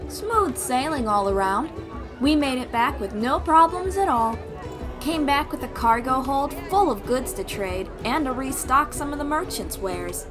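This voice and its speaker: A woman's voice: high voice, lively intonation, a little slow, voice pushed up. A woman voicing a hearty, eager female sea captain with an exuberant, projecting delivery in a fantasy audio roleplay. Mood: excited. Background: music.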